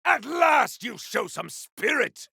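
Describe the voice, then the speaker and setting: A male voice actor playing a grandiose, battle-hungry video game warrior, declaiming in a bombastic, archaic style with a rough, gravelly voice. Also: very high-pitched, sing-song, slow pace, pushed and bright, no room sound, studio quality, exaggerated voice. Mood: battle fury. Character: grandiose battle-hungry warrior wielding fire, frost and storm. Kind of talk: video game combat barks.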